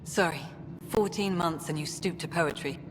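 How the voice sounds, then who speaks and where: An actress playing a jaded, blunt and sardonic colony director in a video game, in a noisy, echoing space. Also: female voice, fairly low pitch, moderate pace, natural voice. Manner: sardonic, terse. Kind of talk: video game dialogue acting.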